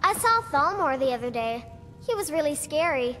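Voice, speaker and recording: Little village girl for a fantasy game: tiny high voice, childlike, eager, music clearly behind. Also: normal speed. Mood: wide-eyed. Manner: childlike, animated. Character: excitable, wide-eyed little village girl. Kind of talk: video game character dialogue.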